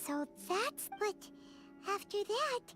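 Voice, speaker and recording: A woman's voice: extremely high pitch, lively intonation, slow pace, over music, exaggerated voice. A woman playing a small, chirpy childlike companion in a cartoonish video game. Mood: curious.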